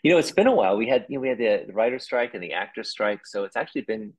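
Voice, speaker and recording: A man, a puppeteer, talking casually as a guest, rambling with restarts and quick laughs. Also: on the low side, lively intonation, breathy edge, rough recording. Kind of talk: casual interview chat.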